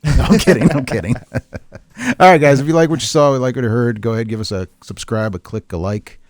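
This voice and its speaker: A man's voice: mid-range voice, fairly quick, no echo, clean studio sound. A middle-aged man in casual unscripted podcast chat, opinionated and joking, rambling as he goes. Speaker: podcast co-host.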